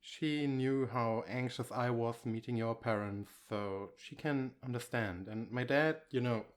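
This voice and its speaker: Man acting as slow-talking, affectionate, reassuring boyfriend. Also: mid-range voice, natural voice. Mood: reassuring, gentle. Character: affectionate, reassuring boyfriend, shyly bragging about his partner. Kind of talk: ASMR comfort roleplay, intimate one-sided dialogue.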